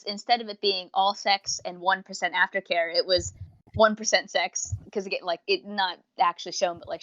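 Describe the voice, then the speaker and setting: A woman's voice: mid-range voice, fairly bright tone, no echo, highs cut off. A woman co-hosting a casual review podcast, chatting in rambling explanations with quoted asides. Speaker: podcast co-host reviewing audios.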